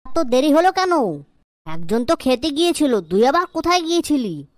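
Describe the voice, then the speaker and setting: Woman playing a stern schoolteacher, scolding, interrogating, comedy cartoon, high voice, dry close sound. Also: very animated, moderate pace, no room sound. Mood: angry scolding. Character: strict, scolding schoolteacher, quick to threaten thrashings.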